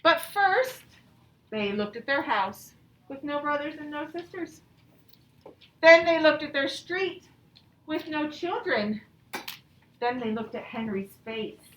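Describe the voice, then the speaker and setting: High-pitched woman teaching children, roomy distant sound. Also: lively intonation, slow pace, pauses often, a bit bright. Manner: instructive, checks understanding with questions. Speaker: elementary school teacher. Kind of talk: teaching young children and reading a storybook aloud. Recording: roomy, distant mic with faint noise.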